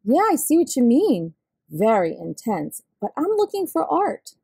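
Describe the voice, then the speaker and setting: Lady doing a confused polite little kid in a storybook, earnest, unhurried, close dry sound. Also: a little high, very animated, creaky, warm tone, husky, dry recording, full character voice. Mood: puzzled, polite. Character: puzzled, polite child searching for friend Art.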